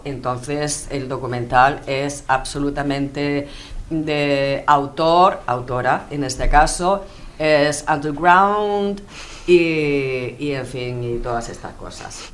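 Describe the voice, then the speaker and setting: Low-voiced Spanish woman journalist. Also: a little hiss or hum. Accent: Madrid Spanish. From Spain. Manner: thoughtful, hesitant with many fillers. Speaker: guest, journalist and filmmaker. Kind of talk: radio interview.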